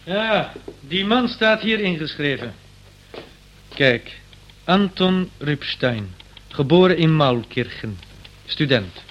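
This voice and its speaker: An actor playing a dutiful police desk sergeant in a radio play, deferential and matter-of-fact. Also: male voice, a little high, animated, slow, long pauses. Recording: dull old radio play recording.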